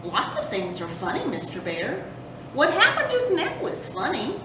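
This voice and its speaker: Woman voicing an eager high-pitched little boy possum. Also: wildly swooping pitch, moderate pace. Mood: cheerful, friendly. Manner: eager, pleading, carefree. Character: young boy possum, playful, eager, giggly. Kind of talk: children's storybook read aloud. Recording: roomy, distant mic with rumbling noise.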